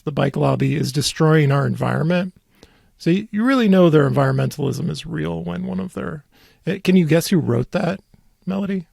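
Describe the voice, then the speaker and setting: A man hosting a podcast in casual chat, teasing and mock-serious with dry sarcasm and a gravelly, husky voice. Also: on the high side, a little mellow, no room sound. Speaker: podcast host. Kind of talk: casual chat about local politics.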